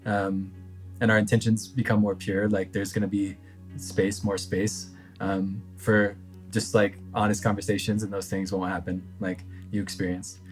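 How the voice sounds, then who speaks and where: Thoughtful low-voiced man, hesitant. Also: flat delivery, moderate pace, faint music behind, rough recording. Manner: thoughtful, hesitant, many fillers. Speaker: podcast guest. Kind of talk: reflective conversation.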